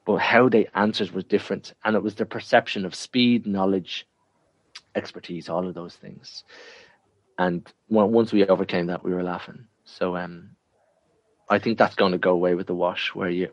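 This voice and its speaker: A man's voice: low-pitched, flat delivery, normal speed, no room sound, dull audio. An Irish man answering interview questions in a frank, emphatic way, often repeating himself to drive a point home. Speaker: interview guest.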